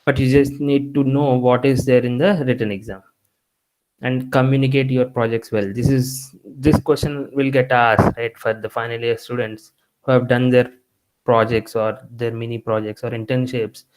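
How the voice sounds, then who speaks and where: A man's voice: mid-range voice, steady tone, moderate pace, darkish tone, rough recording. A man, a Telugu speaker, giving conversational career advice to engineering students and often restarting his sentences. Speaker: guest speaker.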